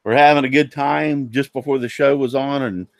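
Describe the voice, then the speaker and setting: A man hosting a casual live-streamed woodworking talk show, sounding nasal and a little hoarse, rambling with many fillers and restarts. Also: mid-range voice, steady tone, moderate pace, dry recording.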